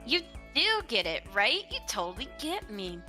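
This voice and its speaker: Woman doing a bright, sassy teenage girl. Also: fairly high pitch, sing-song, moderate pace, faint music behind, exaggerated voice. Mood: brash, friendly. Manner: sassy, slangy.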